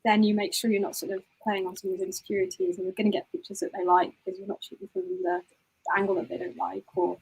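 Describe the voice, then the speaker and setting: A woman's voice: mid-range voice, fast talker, creaky, husky, rough recording. A woman photographer answering interview questions in a chatty way, often saying "you know" and restarting sentences. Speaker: guest photographer.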